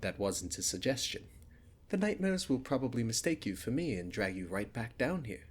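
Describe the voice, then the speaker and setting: A man acting a haughty, menacing spirit king of nightmares with a British accent, in a theatrical ASMR roleplay delivery that shifts between hushed and booming. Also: sing-song, moderate pace. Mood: weary, scheming. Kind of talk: ASMR roleplay villain monologue.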